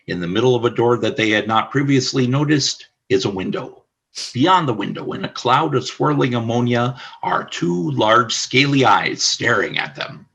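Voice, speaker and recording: A man narrating a scripted radio play as announcer, in a formal, deliberate storytelling manner. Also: mid-range voice, gravelly, fairly bright tone, husky, muffled recording.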